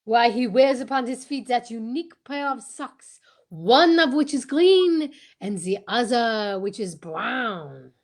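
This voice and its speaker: A woman voicing a shrewd male detective in an amateur radio drama, formal and halting. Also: fairly high pitch, expressive. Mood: measured. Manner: formal, deliberate, halting, peppered with French phrases.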